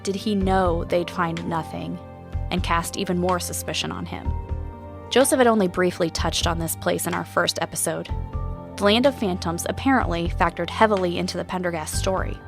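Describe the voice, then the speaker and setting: A woman's voice: on the low side, moderate pace, creaky. An actress playing an earnest, doubting woman who hosts an investigative podcast, in a scripted audio drama. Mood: pensive. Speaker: podcast host. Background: music.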